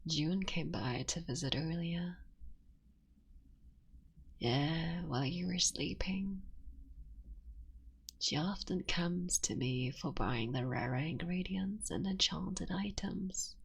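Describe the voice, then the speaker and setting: An affectionate kitsune witch acted by a woman speaks very slowly in a low-leaning, heavily creaky voice. She slips between soft talk and breathy whispers, intimate and playful with a wistful side, as in an ASMR roleplay. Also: full character voice. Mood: gentle, wistful.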